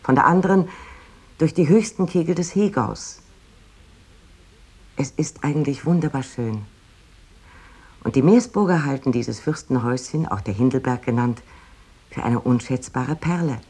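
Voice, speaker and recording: A husky, expressive 19th-century woman poet. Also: extremely low pitch, moderate pace, long pauses. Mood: admiring. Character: 19th-century woman poet writing letters, wry and rapturous. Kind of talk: literary letter reading in a radio play.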